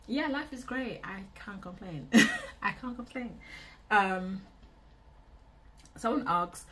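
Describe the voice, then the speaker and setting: Low-voiced young Nigerian woman vlogger. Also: fairly bright tone, a little hiss or hum, poor audio. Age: 20s. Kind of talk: casual Q&A chat to camera. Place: small indoor room.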